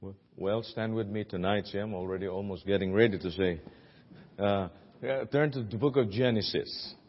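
A very low-voiced man with a Filipino accent preaches in a church, earnest and full of "uh"s and restarts. His voice carries a long hall reverb, and the recording sounds dull and muffled. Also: moderate pace, breathy edge. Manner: earnest, with many fillers and restarts. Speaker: guest preacher. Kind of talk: sermon. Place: church sanctuary.

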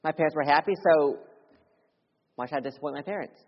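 A young man preaches with earnest urgency, his voice slightly husky as it swings from loud appeals to hushed, quiet lows. His words ring out with a long reverb through a church sanctuary. The recording itself sounds dull and muffled. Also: fast. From Philadelphia. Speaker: missionary preacher. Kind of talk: sermon with personal testimony.

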